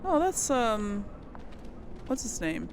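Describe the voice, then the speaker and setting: A woman's voice: on the high side, sing-song, takes it slowly, a little hiss or hum. A woman hosting a let's play, commenting casually on a game and hesitantly thinking aloud.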